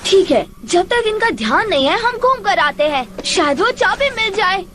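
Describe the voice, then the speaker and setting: Very high cheeky cartoon boy, carefree, lively swoops, music behind. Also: moderate pace. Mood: cocky, adventurous. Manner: cocky, carefree. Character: cheeky, adventurous, headstrong little boy. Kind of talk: dubbed cartoon acting.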